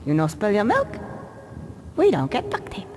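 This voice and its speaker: High-pitched, excitable German mad scientist. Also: male voice, normal speed, a little hiss or hum. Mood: pedantic lecturing. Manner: pedantic, theatrical lecturing. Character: excitable eccentric male weasel scientist, pedantic, obsequious. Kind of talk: video game cutscene acting.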